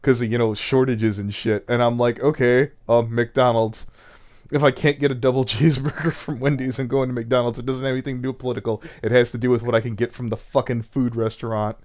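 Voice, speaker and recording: A man's voice: medium pitch, fairly even intonation, quick pace, darkish tone. A man streaming over a voice call, rambling, swearing and laughing often in casual chat. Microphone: Discord voice call. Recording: voice chat audio.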